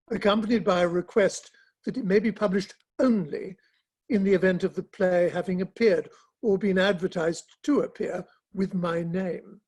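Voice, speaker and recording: An earnest, frank Victorian gentleman, played by a man in a stage reading. The voice is husky, and the delivery is measured and formal, that of a principled friend. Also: high-pitched. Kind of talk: online dramatic play reading.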